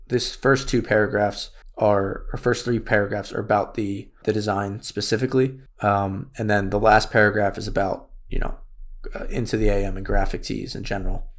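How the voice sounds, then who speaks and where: A man with a raspy voice, losing it, casually walking through an online store tutorial with many fillers. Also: lowish voice. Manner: casual, thinks aloud with fillers. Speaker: course instructor. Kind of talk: screen-share tutorial walkthrough.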